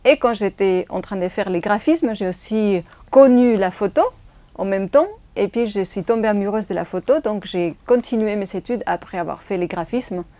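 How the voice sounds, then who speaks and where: A graphic designer and photographer from Spain, a native Spanish speaker with a Spanish accent, answering interview questions in plain, slightly non-native phrasing. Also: female voice, mid-range voice, normal speed, mellow, no echo.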